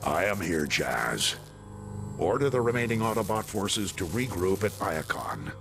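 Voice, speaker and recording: A man playing a noble robot commander in a video game, speaking in a grave, measured, formal manner. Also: low-pitched, very animated, gravelly, full character voice. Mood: solemn. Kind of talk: video game cutscene acting. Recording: loud music over the voice.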